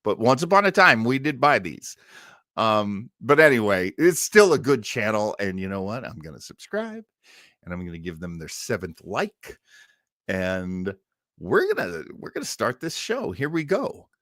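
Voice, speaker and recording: A man hosting a livestream, chatting casually to his audience as an enthusiastic, animated fan. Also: lowish voice, moderate pace, no room sound, studio quality. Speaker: livestream host. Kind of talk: casual chat to live audience.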